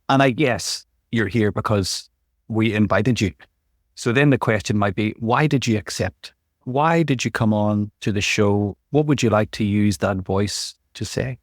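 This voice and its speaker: A man hosting a podcast interview, asking gentle, searching questions with stammered repetitions. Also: lowish voice, no room sound, studio quality. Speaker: podcast host.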